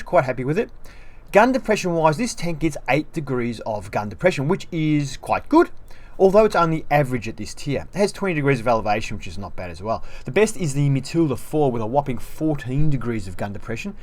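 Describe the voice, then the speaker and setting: A man's voice: a little high, big pitch swings, brisk. A man giving a casual review of a game tank, running through stats in a chatty, self-deprecating way.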